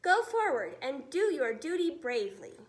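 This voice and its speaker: Storybook role: pompous military chief , played by a woman, jittery underneath, clipped orders, lively swooping pitch. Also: normal speed. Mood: commanding. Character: blustering but nervous male army commander.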